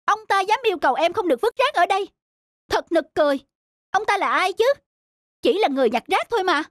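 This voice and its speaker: An actress playing a proud young wife in a cartoon, speaking in an expressive, emphatic way. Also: female voice, extremely high pitch, quick pace, no echo, studio quality. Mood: indignant, haughty. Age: young adult. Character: haughty young wife, quick to scold, later sheepish. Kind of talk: cartoon voice acting. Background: faint music.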